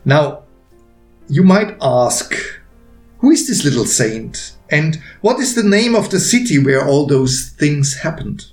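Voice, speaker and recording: A man reading his own story aloud as a narrator, with gentle, deliberate phrasing and pauses. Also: on the high side, very animated. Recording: roomy echo with faint music behind.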